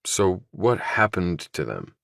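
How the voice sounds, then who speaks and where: Tough young army veteran for thriller audiobook, played by a man. Extremely deep, guarded, clipped and blunt, close dry recording. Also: very animated, takes it slowly, gravelly, fairly bright tone, no echo, exaggerated voice. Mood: probing, blunt. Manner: terse, blunt.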